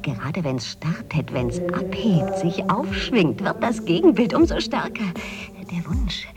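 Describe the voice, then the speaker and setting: A woman's voice: lowish voice, very animated, a little slow, a loud crowd. An actress playing an earnest, dreamy woman with courtly ideals, confiding lyrically in a radio drama monologue. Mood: wistful, reflective. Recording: music loud behind the voice. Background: loud music.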